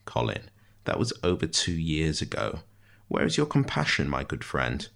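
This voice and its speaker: A man's voice: rumbling low, wildly swooping pitch, normal speed, creaky, a bit bright, full character voice. A man voicing a wry, precise, theatrical prisoner with a dry voice in a storybook reading. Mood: pleading.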